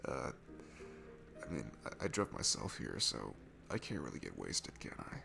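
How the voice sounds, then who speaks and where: Shy, sweet guy alone at a bar, played by a man for ASMR audio: heavy vocal fry, lively shifting pitch, hesitant, stammering and self-effacing. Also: normal speed, heavily acted. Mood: confiding. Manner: hesitant, stammering, self-deprecating, breaking into hushed breathy asides. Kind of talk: intimate ASMR roleplay audio acting. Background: music.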